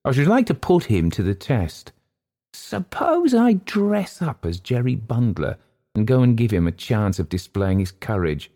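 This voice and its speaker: A man voicing an eager, boastful gentleman amateur actor with a theatrical, cocky manner in a storybook reading. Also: medium pitch, big pitch swings, measured pace, voice pushed up. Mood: mischievous.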